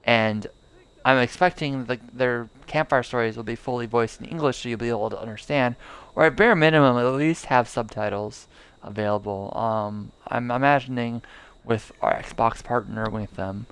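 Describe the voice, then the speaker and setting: Young guy streaming, rambling w lots of ums, gravelly but smooth voice. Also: medium pitch, moderate pace, fairly bright tone. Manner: rambling, many fillers and restarts. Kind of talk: casual live gameplay commentary.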